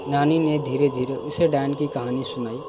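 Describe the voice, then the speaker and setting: A man's voice: mid-range voice, steady tone, normal speed, noisy background. A man narrating a scripted cartoon folk tale as storyteller.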